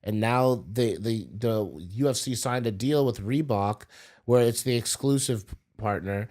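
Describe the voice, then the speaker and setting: A man with an average-pitched voice hosts a sports podcast. He speaks informally and conversationally, as if talking shop with friends. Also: unhurried, a little mellow. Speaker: podcast host. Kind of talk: casual sports discussion.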